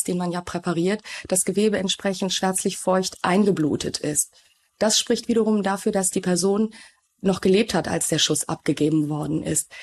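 A woman's voice: fairly low pitch, a little mellow. Woman explaining as a forensic expert. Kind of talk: expert explanation in documentary interview.

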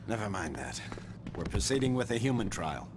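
Corporate villain for a game: middle-aged, imperious, cold and commanding, fairly low pitch. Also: male voice, very animated, fairly quick, background noise. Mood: coldly commanding. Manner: commanding, curt, menacing. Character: ruthless, imperious corporate boss man. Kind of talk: video game villain acting.

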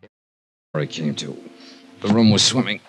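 An actor playing a tough, wry investigator, talking and narrating in 1950s radio detective style. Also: male voice, low voice, lively intonation, measured pace, background noise. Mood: hard-boiled narrating. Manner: hard-boiled, wry, conversational. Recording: old 1950s radio recording.

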